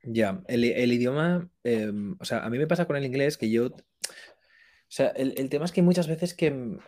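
A young man chatting casually as an interview guest, speaking colloquially and haltingly as he thinks aloud. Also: medium pitch, animated, dark tone, no room sound. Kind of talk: casual chat.

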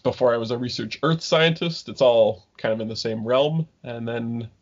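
A man in his thirties from Ontario, a guest scientist talking casually in an interview, halting with many ums and restarts. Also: medium pitch. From Ontario, Canada. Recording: dull lo-fi remote call audio.

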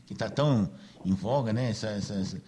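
Chatty radio host with a mellow voice. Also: male voice, on the low side, fairly quick, slight background noise. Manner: chatty, filler-heavy, seeks agreement with "né". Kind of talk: casual radio chat.

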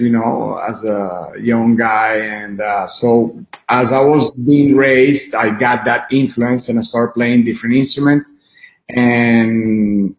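A man from Venezuela speaking as an interview guest in a conversational, rambling, halting way over a video call. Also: mid-range voice, a little mellow. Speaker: interview guest, film director and musician. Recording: roomy, dull video call audio.

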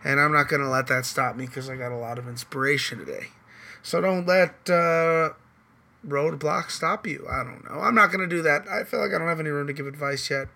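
Hoarse man rambling on a podcast. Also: a little high, a little fast, sharp tone. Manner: loose, rambling, swears casually. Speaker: podcast host. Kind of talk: casual chat.

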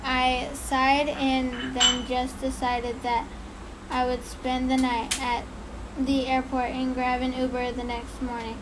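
A woman's voice: high-pitched, completely flat delivery, slow pace, background noise. A woman co-hosting a casual chat who reads a story aloud, stumbling and apologizing and laughing at her slips. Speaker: podcast co-host reading a story.

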